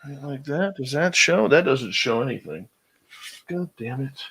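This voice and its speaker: Lively, bright-voiced joking radio host man. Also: medium pitch, unhurried, slightly rough. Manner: jokey, teasing, animated. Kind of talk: casual on-air banter.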